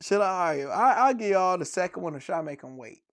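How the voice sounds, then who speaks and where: A man with a gravelly, fry-edged voice cracks dad jokes on a casual sports podcast, chuckling at his own punchlines. His voice is recorded close and dry, with no room around it. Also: high-pitched, animated, a little fast, fairly bright tone, no echo. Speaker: podcast co-host.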